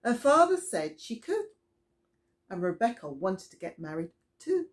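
Slow, expressive middle-aged woman reading to children. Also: mid-range voice. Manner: deliberate, expressive storytelling with questions to young listeners. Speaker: children's storyteller. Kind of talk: read-aloud children's Bible story. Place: small indoor room with soft toys.

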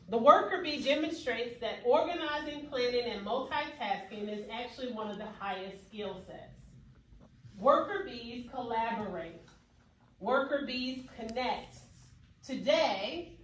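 A woman's voice: a little high, fairly bright tone, a little hiss or hum. A young adult woman giving an emphatic, preacherly keynote speech, at times rising to exclamations. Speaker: keynote speaker. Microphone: far camera at back of room. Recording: echoey hall recorded from far off.

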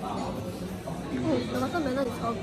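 A woman's voice: sits high, moderate pace. A young woman chatting casually and giggling with a friend while out eating, filmed on a handheld phone. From Singapore. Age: young adult. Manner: chatty, giggly, lots of fillers. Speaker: vlogger. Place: busy city spots: cafes, restaurants, street. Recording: loud music and crowd noise. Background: loud music and crowd chatter.

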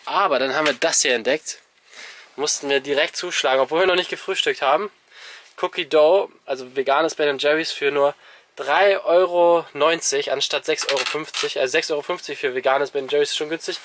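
A man's voice: on the high side, steady tone, fairly quick, a bit bright, slight background noise. A man in his twenties chatting casually and enthusiastically to camera as a travel vlogger. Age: 20s. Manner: enthusiastic, colloquial. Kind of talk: casual vlog chat. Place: mostly inside a small camper van. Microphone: camera mic at arm's length.

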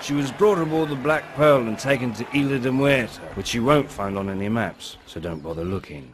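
An actor voicing a cocky, sly pirate rogue in a video game, glib and drawling, with mock-grand storytelling. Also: male voice, medium pitch, animated, brisk, husky. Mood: boastful storytelling. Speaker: narrator and lead character. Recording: music under the voice.

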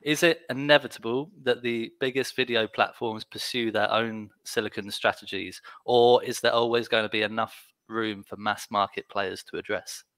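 A man moderating an online panel, brisk with light joking asides. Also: lowish voice, fairly even intonation, a bit bright, no echo. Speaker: host and moderator.

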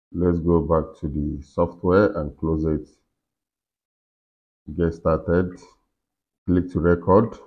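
Low-voiced man giving a tutorial. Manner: talking himself through each on-screen step. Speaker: tutorial host. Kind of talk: casual software walkthrough. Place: indoors in a furnished room. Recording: slightly dull, lo-fi sound.

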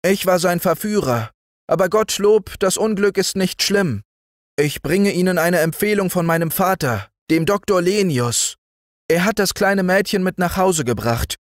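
An earnest young boy, bright and eager, speaking in a high, quick voice, as a man reading aloud puts him on. The sound is close and dry, with no room around it. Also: lively intonation, no echo.

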